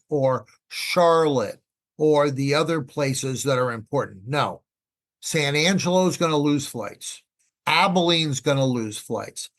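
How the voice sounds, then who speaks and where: Man explaining with emphatic repetition. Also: medium pitch. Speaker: podcast guest, air traffic expert. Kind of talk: conversational explaining.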